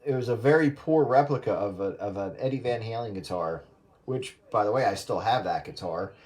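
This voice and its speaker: A man's voice: lowish voice, fairly quick, darkish tone. A man chatting casually and reminiscing as he takes part in a radio round table. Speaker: radio operator.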